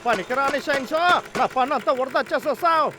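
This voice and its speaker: A man's voice: very high voice, fairly quick. A man voicing a scheming, boastful male logger villain in a children's cartoon, with a sly, exaggerated manner. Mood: grumbling effort. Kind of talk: dubbed children's cartoon acting.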